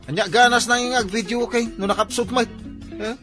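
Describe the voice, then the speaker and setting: A man's voice: very high voice, steady tone, brisk, music in the background. A man acting a boisterous, unrepentant drinking and singing neighbour in an Ilocano-accented radio comedy drama, exclaiming and arguing. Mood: defiant. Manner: loud, exclaiming, argumentative.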